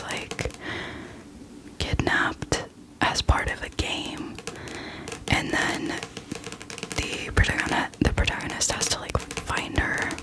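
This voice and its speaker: A woman hosting a casual whispered ASMR book chat, speaking in a soft, breathy whisper with a hesitant, self-deprecating manner. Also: rough recording. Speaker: book video host.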